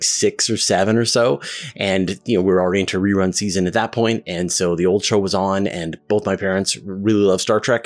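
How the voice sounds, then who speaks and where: A man in his fifties hosts a relaxed solo question-and-answer show, chatting his way through answers and personal stories. His voice sits on the low side, and his talk drifts along with plenty of "uh" and "you know" fillers. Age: 50s. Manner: reads a long list of names quickly. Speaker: host. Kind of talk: casual solo Q&A monologue.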